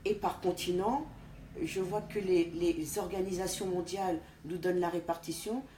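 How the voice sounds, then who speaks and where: Low, mellow-voiced woman, deliberate. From France. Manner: deliberate, halting with many restarts. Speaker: interview guest, party official. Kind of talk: conversational interview. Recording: echoey room with faint background noise.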